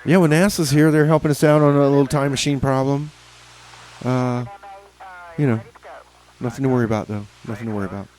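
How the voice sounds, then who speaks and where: A man hosts a late-week community radio show in a loose, rambling way. His voice sits in an ordinary middle register. He pauses often with fillers as he introduces songs. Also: mid-range voice, fairly even intonation, darkish tone, poor audio. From New Mexico, USA. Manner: rambling, easygoing, halting with fillers. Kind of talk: casual radio chat between songs.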